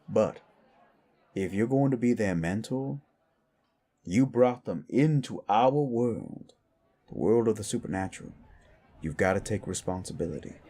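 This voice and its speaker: Seeking a man for a frank supernatural mentor in audio drama: unhurried, lowish creaky voice, blunt counsel. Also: dark tone, exaggerated voice. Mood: earnest counsel. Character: wise, frank male supernatural mentor in a bar. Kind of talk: scripted audio drama dialogue.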